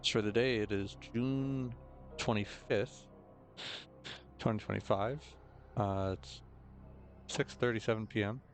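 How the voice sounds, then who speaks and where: A young man with a slightly hoarse, rough throat, chatting casually and rambling through gameplay commentary with many fillers and self-corrections. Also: on the low side, fairly even intonation, unhurried, pauses often, soft background music. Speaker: gaming video host. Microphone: desk mic with noise gate.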